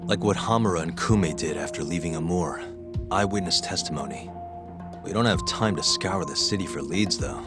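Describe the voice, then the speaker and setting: A man's voice: deep voice, moderate pace, over loud music, lightly acted. A man playing a cool, persistent lawyer investigator in a video game cutscene, probing in a dry, understated way. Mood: businesslike.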